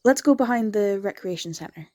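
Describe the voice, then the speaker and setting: A woman playing a soft-voiced vampire girl. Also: medium pitch, lively intonation, a little fast, no room sound, clean studio sound, close to an everyday voice. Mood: sweet. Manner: soft, intimate, slips into whispers. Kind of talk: ASMR roleplay.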